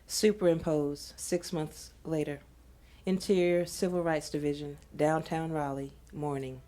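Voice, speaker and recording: Slow, mellow woman narrator. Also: deep voice, steady tone. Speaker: host and narrator. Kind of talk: scripted narration of screenplay directions.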